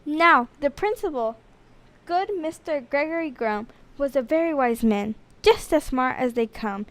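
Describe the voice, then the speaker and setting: A woman reading a rhyming children's story aloud in an expressive storytelling style. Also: sits high, a little slow. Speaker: storybook reader.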